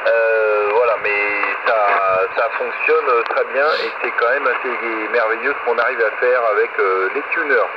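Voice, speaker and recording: A polite, measured man in a casual amateur radio contact, heard through a radio receiver. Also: medium pitch. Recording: dull, very noisy, distant radio transmission.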